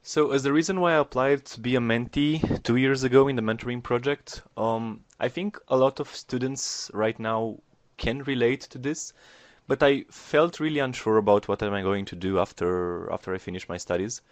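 A man's voice: mid-range voice, normal speed. A young man, a former mentee, talking to podcast listeners in an earnest, encouraging way with some fillers. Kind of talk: podcast chat addressing listeners.

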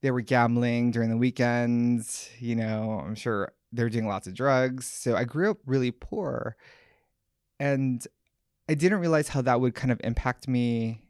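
A man's voice: mid-range voice, fairly even intonation, unhurried, a little mellow, clean tone, no room sound, studio quality. A man from Hawaii, a podcast guest telling his life story in a candid, reflective way. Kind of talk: personal storytelling interview.

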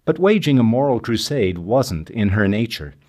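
A man's voice: on the low side, very animated, moderate pace, darkish tone. A man hosting and reading scripted narration in a documentary style. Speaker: host and narrator.

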